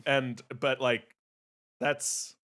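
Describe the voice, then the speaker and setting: A man's voice: mid-range voice, little pitch movement, very slow, pushed and bright. A man co-hosting a podcast, talking casually and jokingly as he gives his critique. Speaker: podcast co-host.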